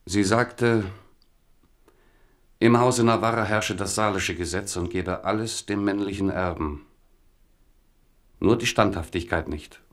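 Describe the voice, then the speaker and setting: A man's voice: lowish voice, a little slow, long pauses, natural voice. An actor playing a king's melancholy, reproachful companion and poet in a radio drama, earnest, solemn and poetic. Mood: wistful confession. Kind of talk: German radio drama acting.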